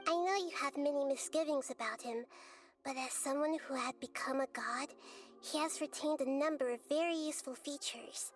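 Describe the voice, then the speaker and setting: A woman playing a small, wise young goddess in a game, speaking in a calm, measured, articulate and gently explanatory way. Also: high-pitched, natural voice. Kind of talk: scripted game dialogue. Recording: background music under the voice.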